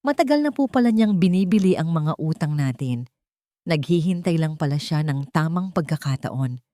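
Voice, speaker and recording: A woman voicing a frightened, regretful young man speaking softly in an audio story. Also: deep voice, lively intonation, moderate pace, no room sound, studio quality. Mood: remorseful. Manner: quiet, regretful. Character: young adult son, remorseful and frightened.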